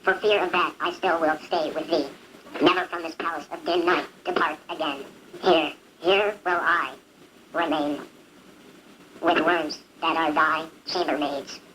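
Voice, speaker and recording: A woman playing a grieving young male lover in an amateur Shakespeare puppet show, slowly and solemnly declaiming. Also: sits low, steady tone, a bit bright. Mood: tender grief. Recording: noisy amateur student recording.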